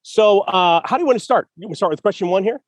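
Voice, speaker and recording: A man's voice: high voice, lively intonation, fast, no echo. A man co-hosting a casual podcast about writing, talking over a video call from a city park in a jokey, rambling way and laughing often. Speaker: co-host, writer. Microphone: video call over spotty public Wi-Fi.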